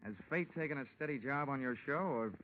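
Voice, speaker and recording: An actor playing a wry, cool-headed insurance investigator in a hard-boiled, wisecracking 1940s radio detective style. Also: male voice, mid-range voice, fairly quick, lightly acted. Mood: probing. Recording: tinny, band-limited 1940s radio recording.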